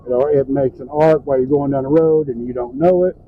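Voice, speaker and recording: A man's voice: mid-range voice, fairly even intonation, brisk. A plain-spoken man with folksy phrasing gives an informal, step-by-step hands-on tutorial from inside a truck cab. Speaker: truck driver and trainer giving a tutorial. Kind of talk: informal hands-on how-to narration. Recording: tinny phone-like audio with background noise.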